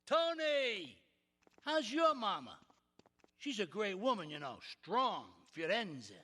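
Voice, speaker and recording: An actor playing an older, expansive and domineering Mafia patriarch in a game cutscene, with an effusive, bossy manner. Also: male voice, high voice, sing-song, slow pace, sharp tone, exaggerated voice. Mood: effusive, fatherly. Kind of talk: video game cutscene acting.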